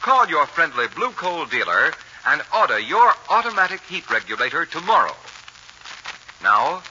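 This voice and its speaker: A man reading a scripted 1940s radio advertisement as an announcer, with an earnest, measured salesman delivery. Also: fairly high pitch, very animated, pushed and bright. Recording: muffled, noisy 1940s radio recording.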